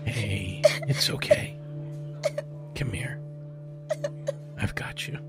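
A laid-back, dependable right-hand man in an audio drama, played by an actor. He speaks in a low voice, with clear music behind him. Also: very slow. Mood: tender.

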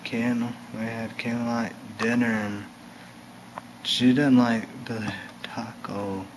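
A young man casually demonstrating a recipe in his home kitchen, talking to the camera in a rambling, halting way that keeps correcting itself. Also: medium pitch, little pitch movement. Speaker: home cooking vlogger. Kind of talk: casual cooking demo to camera. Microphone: camera mic from across the room. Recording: roomy home video with loud hiss.

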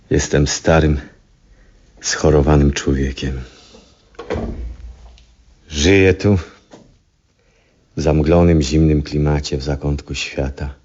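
An old, ailing astronomer in a 1970s radio play. His voice is extremely low and husky, and he broods slowly through a lonely monologue with long pauses. The recording is dull and muffled. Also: exaggerated voice. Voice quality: husky, hushed. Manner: halting, reflective, with long pauses. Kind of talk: 1970s Polish radio drama acting, introspective monologue.

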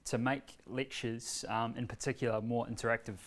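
A man, giving a casual workshop demonstration in a meeting room with many fillers and pauses. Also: mid-range voice, little pitch movement. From New Zealand. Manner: standing, walking between screen and laptop. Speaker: presenter demonstrating a learning tool. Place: bright medium-sized meeting room with a screen, indoors. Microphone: far camera.

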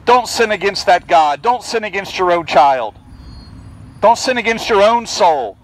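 A man's voice: high voice, animated, unhurried, low-quality recording. A man preaching in the open air outside a building, calling out insistently to people passing by. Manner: projecting, insistent pleading. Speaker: street preacher. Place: outdoors by a fence and bushes.

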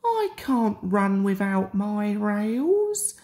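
A woman's voice: mid-range voice, big pitch swings, extremely slow, warm tone, full character voice. A woman voicing an anxious, earnest young male tank engine in a children's storybook. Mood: sad.

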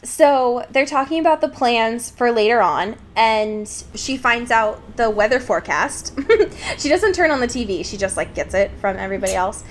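A woman's voice: fairly high pitch, animated, moderate pace, slight background noise. A woman co-hosting a podcast, chatting casually in a giggly, irreverent way as she recaps a book, swearing freely. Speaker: podcast co-host.

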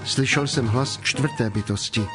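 A man narrating a dramatized scripture reading in a solemn, measured storytelling manner. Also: medium pitch, loud music behind the voice.

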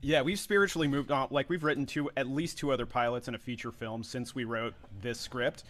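A man with a mid-pitched voice talks casually as co-host of an online table read. His delivery is easygoing and conversational, with pauses between phrases. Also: fairly even intonation, a bit bright. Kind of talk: casual, conversational group chat. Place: indoors on a video call.

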